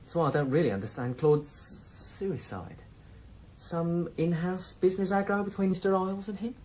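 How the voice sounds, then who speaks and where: An actor playing a chummy drug dealer in a radio drama, falsely polite, mock-formal and insinuating. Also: male voice, high voice, normal speed, darkish tone, slight background noise, natural voice, poor audio. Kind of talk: 1990s radio drama acting.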